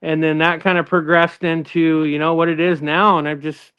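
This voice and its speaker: Middle-aged guest guitarist, a man from Northern California, smooth voice, a bit high, slightly lo-fi recording. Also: level tone, moderate pace. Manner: easygoing, rambling with many fillers and restarts. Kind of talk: casual interview chat.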